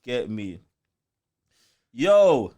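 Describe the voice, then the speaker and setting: Animated London man hosting a podcast. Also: medium pitch, drawn-out words, lo-fi sound. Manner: slangy, animated. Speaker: podcast host. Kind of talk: casual live podcast banter.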